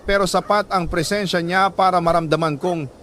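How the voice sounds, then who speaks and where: Male radio storyteller, fairly high voice, measured and emotive first-person narration, some background noise. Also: fairly even intonation, a bit bright. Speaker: radio drama host reading a listener's letter.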